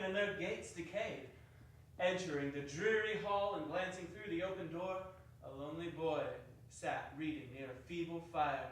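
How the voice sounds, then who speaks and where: A man narrating a scripted story as a dramatic reading. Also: sits high. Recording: very echoey hall, distant mic, faint noise.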